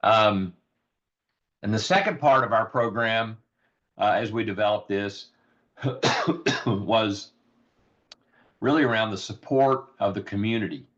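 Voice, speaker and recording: Hoarse low-voiced man, muffled audio. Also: slow pace, pauses often, fairly bright tone. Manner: earnest, many ums and repeated words. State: raspy, hoarse voice. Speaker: rural health program leader. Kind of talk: informal virtual presentation. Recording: dull lo-fi audio.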